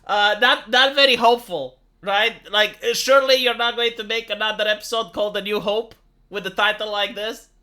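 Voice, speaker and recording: A man's voice: high-pitched, fairly even intonation, a little fast, bright, forceful tone. A Romanian man with a Romanian accent giving a sarcastic, exasperated, opinionated commentary in casual speech. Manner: sarcastic, exasperated, with rhetorical tag questions. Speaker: YouTube commentator and streamer.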